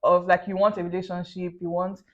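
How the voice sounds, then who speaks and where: A woman's voice: lowish voice, level tone, brisk, narrow, tinny audio. A young woman with a Nigerian accent in a casual chat, blunt and matter-of-fact, slipping into pidgin. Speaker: guest.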